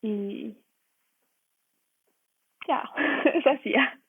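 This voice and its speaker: A woman's voice: a little high, extremely slow, vocal fry, slightly rough, dry recording. A young woman with a Colombian accent answering interview questions casually and hesitantly, searching for words. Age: young adult. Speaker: interview guest, design trainee. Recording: narrow telephone-like online call.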